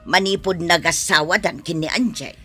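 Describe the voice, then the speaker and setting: A woman playing a nosy gossiping village neighbour in a radio drama, prying with drawn-out emphasis. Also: fairly low pitch, pushed and bright, breathy edge, soft background music.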